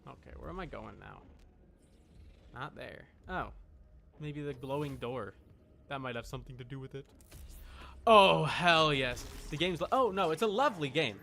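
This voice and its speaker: A man's voice: medium pitch, animated, normal speed, gravelly, long pauses, pushed and bright, a little hiss or hum. A man chatting casually on a gaming livestream, rambling and emphatic as he corrects himself, with faint game sound behind him. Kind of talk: casual gaming stream chat. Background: video game sound.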